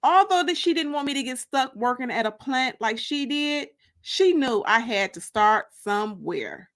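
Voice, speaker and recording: Female audiobook narrator, slightly high pitch, highly varied intonation, expressive and deliberate dramatic reading. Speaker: author reading her book.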